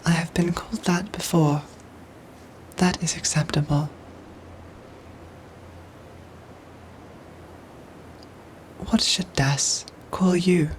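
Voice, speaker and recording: A woman voicing a gentle, dutiful android soldier in an ASMR roleplay, with soft breathy whispers and formal, measured phrasing. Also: on the low side, steady tone, slight background noise, lightly acted. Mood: devoted, calm. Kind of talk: ASMR roleplay audio drama.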